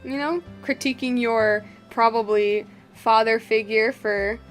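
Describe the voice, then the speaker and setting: A young woman streams a game in a fairly high voice, with soft music behind her. She comments casually and at length, rambling and getting excited. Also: unhurried. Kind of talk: casual live gameplay commentary.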